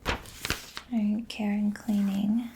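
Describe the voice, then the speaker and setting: A woman speaking in a soft, breathy whisper, gentle and soothing, in a close-miked ASMR personal attention roleplay as a mechanic. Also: medium pitch, level tone, drawn-out words, background noise. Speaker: ASMR roleplayer playing a robot repair mechanic. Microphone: close microphone.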